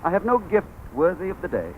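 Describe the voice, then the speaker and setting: A man plays a humble, simple juggler who pleads in an earnest, raised voice. The voice sits higher than the storyteller's own, in an old radio play. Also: huge pitch swings, brisk, slight background noise, poor audio. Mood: sorrowful.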